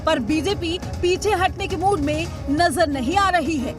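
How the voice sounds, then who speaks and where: A woman's voice: very high-pitched, unhurried, music in the background. A woman delivering a scripted television news report voice-over.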